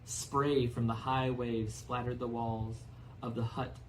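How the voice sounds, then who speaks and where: A man reading a storybook aloud as narrator in an expressive style. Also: mid-range voice, slow pace, slight background noise, low-quality recording. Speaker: audiobook narrator.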